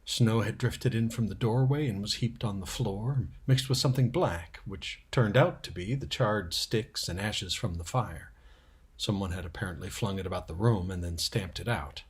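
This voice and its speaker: A man's voice: on the low side, slightly rough. A man narrating a storybook expressively as a storyteller.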